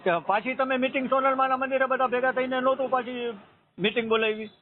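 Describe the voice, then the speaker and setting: A man's voice: very high voice, steady tone, fast, slight background noise. A man with a Saurashtra Gujarati accent on a recorded phone call, debating with repeated words and checks for agreement. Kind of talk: argumentative phone conversation. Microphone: telephone.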